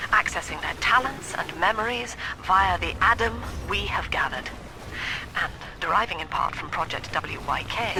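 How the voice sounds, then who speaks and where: An actress playing a cold, cerebral middle-aged psychiatrist and cult leader in a video game, speaking in a formal, precise, solemn manner. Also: female voice, mid-range voice, background noise, close to an everyday voice. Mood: clinical, resolute. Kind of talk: dramatic video game monologue.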